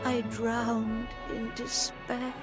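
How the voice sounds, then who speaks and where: Solemn mother, very slow speech. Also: a little high, flat delivery. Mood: solemn, relieved. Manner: formal, measured, clipped phrasing. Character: solemn, grateful mother, formal and poetic. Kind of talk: video game cutscene voice acting. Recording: loud music under the voice.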